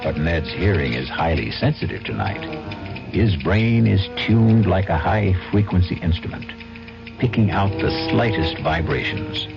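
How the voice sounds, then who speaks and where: A man hosting a 1970s radio mystery, narrating in a measured, wry, suspenseful way. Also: deep voice, expressive, vocal fry. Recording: dull old radio broadcast. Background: dramatic music.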